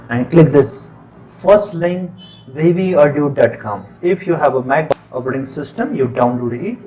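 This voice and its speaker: A man with a Nepali accent, a native Nepali speaker, talking through a software tutorial in plain, unhurried step-by-step instructions. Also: fairly high pitch, rough recording. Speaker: tutorial presenter. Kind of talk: screen-recorded software tutorial.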